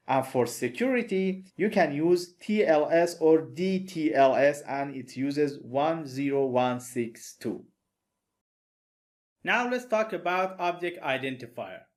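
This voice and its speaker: Accented man explaining networking slides. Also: on the high side. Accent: non-native English accent. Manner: methodical, plain explanatory delivery. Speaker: networking instructor. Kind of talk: recorded technical lecture over slides.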